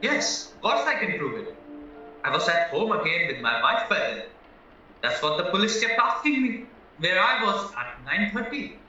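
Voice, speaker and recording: A man's voice: sits high, big pitch swings, moderate pace, pushed and bright, heavily acted. A man acting in an audio play as a naive, anxious young husband accused of murder, earnest and rambling as he protests. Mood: defensive. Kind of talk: stage-style audio drama acting. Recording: very echoey, muffled, distant, music underneath.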